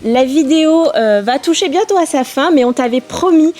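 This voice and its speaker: Chatty higher-voiced woman vlogging outdoors. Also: animated, moderate pace, background noise. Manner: chatty, self-mocking, hesitant fillers. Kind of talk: casual travel vlog chat. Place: outdoors in hot weather.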